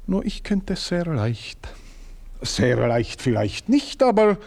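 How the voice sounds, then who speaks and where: A pompous wounded manservant. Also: male voice, a little high, big pitch swings, slow pace, darkish tone, heavily acted. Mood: scheming. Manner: stilted formal speech, swings into outraged outbursts. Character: proud indignant middle-aged male manservant, pompous and wounded. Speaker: servant. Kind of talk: radio play acting.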